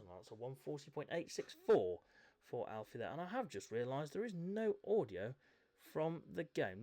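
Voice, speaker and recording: A man doing live commentary on a motorcycle esports race, a little croaky from a chest infection, chatty with self-mocking asides. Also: medium pitch. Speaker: race commentator and event host.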